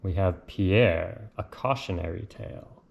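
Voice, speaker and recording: A man with a fairly low voice reads a children's picture book aloud. His delivery is slow and lilting, with a casual touch. There is light noise and clicking in the recording. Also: creaky, highs cut off. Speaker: reader of picture books. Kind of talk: read-aloud children's storybook narration with casual asides.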